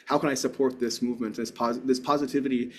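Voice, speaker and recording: A man's voice: mid-range voice, fairly even intonation, fast talker. A man giving a keynote talk and answering audience questions in an earnest, rambling way with frequent restarts. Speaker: keynote speaker.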